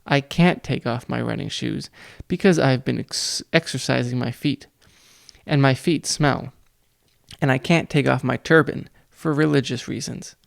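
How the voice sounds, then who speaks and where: A man's voice: mid-range voice, a little slow, gravelly, no echo, clean studio sound. A man voicing a sly, villainous gym coach in disguise, falsely jolly, for a children's audiobook. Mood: feigned jolly.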